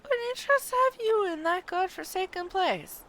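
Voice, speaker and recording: A woman's voice: very high-pitched, expressive, moderate pace, a bit bright, pitched above the performer's own voice. A woman reading game quest dialogue as a blunt, foreboding seafarer, with dialect words and doom-laden drama. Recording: clearly noisy background.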